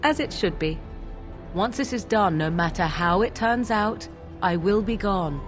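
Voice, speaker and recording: A proud, guarded sorceress played by a woman with a medium-pitched voice. She is formal, aloof and measured, a fantasy game companion speaking over music. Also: animated, close to an everyday voice. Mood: guarded, solemn. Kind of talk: video game voice acting. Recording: music behind the voice.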